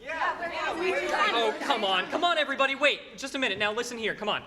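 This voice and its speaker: A man's voice: extremely high pitch, lively intonation, moderate pace, a loud crowd. A young man acting a flustered, earnest small-town building and loan man in a stage radio play, stammering and pleading emphatically. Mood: pleading, urgent. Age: teens or young adult. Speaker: high school student actor. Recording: reverberant hall, noisy stage recording.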